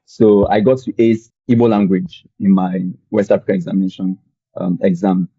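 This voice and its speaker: A young man from Nigeria with a Nigerian accent, telling his story as a podcast guest in a relaxed, rambling way with many fillers. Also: low voice, moderate pace, slightly rough, no room sound, highs cut off. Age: young adult. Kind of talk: conversational storytelling.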